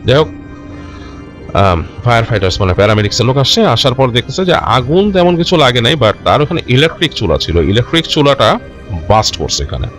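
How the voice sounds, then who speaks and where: A man telling a horror story informally and unscripted, in a halting, conversational way with repeated phrases. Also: medium pitch, very animated, fairly bright tone. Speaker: storyteller of a ghost story show. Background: background music.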